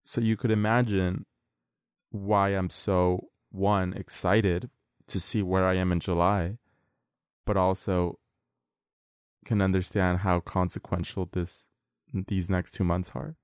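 Deep-voiced young man, rambling podcast chat. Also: level tone, slow pace, long pauses, clean tone, no room sound, clean studio sound. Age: late teens. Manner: rambling and earnest, with many fillers. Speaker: podcast co-host.

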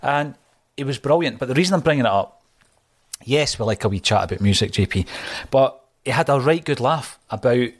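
A man's voice: medium pitch, expressive, brisk. A man hosting a football podcast, speaking in an emphatic, opinionated way. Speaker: podcast host. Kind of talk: casual chat.

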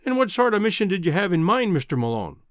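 A man voicing a kindly, crabbed old Scottish newspaper editor in a storybook reading, with a measured, avuncular manner. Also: high voice, very animated, fairly bright tone, no echo, studio quality, voice pushed up. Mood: wary. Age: older.

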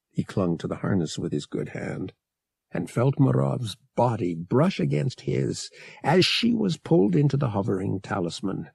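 A man narrates an adventure story in a dramatic, deliberate audiobook style. His pitch moves widely and his pace is unhurried. The voice has a gravelly creak to it. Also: dark tone, dry recording, clean studio sound.

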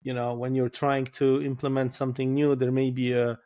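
Polish-accented man, flat and measured. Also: medium pitch. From Poland. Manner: thoughtful, repeats words while searching for phrasing. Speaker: guest, firefighter colonel. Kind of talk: casual podcast interview.

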